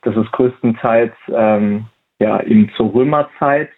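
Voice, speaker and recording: A man's voice: fairly low pitch, measured pace. A man of twenty answering interview questions in a casual, hesitant way, with many fillers and restarts. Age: 20s. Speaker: interview guest, volunteer at a museum. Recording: tinny phone-like line.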